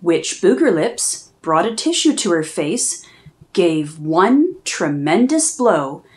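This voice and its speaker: A woman reading a children's picture book aloud in a slow, expressive storytelling manner. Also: a little high.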